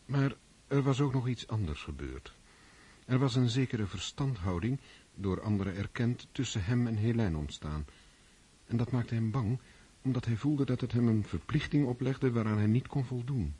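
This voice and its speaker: An actor narrating a historical radio drama in the third person, measured and literary. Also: male voice, medium pitch, lively intonation, mellow, husky.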